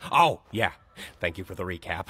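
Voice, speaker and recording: A man voicing an excitable, dotty chess-piece king in a cartoon, bumbling and exclaiming. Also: deep voice, moderate pace, slightly rough, full character voice. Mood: befuddled. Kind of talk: animated series voice acting.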